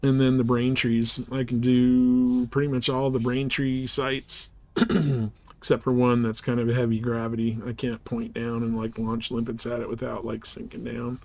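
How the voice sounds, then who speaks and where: A man's voice: medium pitch, completely flat delivery, normal speed, creaky, darkish tone. A man livestreaming a video game with casual commentary, rambling a little as he thinks aloud. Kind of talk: casual live gameplay commentary.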